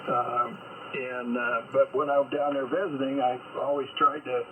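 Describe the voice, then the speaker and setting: A man's voice: mid-range voice, flat delivery, measured pace. A man on a ham radio call, chatting casually and rambling with many fillers. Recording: tinny radio line with noise.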